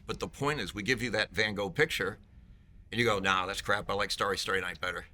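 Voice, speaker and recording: A man's voice: on the low side, quick pace, bright, forceful tone. A man in marketing, talking up his company in a playful, salesy, joking way during a conference interview. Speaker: vendor marketing executive.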